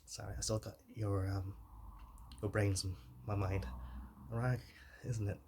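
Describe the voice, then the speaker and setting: A shy, soft-hearted zombie, acted by a man in an intimate ASMR roleplay. The voice is fairly low and gentle, often slipping toward a whisper. He talks haltingly and apologetically, with some hiss behind him. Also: level tone, natural voice. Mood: gently coaching. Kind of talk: ASMR roleplay, softly spoken to the listener.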